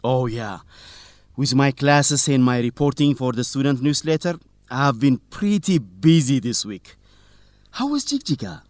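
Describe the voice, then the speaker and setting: Man acting as a determined student reporter guy, teasing, swingy pitch, dry radio drama sound. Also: animated, a little slow, a little mellow, no echo, close to an everyday voice. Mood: teasing, friendly. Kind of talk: scripted educational radio drama dialogue.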